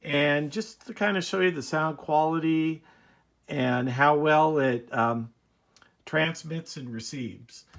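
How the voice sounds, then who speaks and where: A man giving a casual, unhurried, rambling demonstration as a hobbyist presenting a video. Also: on the high side, vocal fry, a bit bright.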